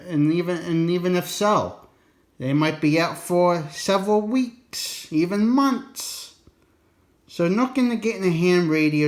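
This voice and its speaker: A man giving a casual, unscripted explainer in a folksy, colloquial way, rambling a bit and repeating his warnings for emphasis. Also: high voice. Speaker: presenter of an instructional radio video.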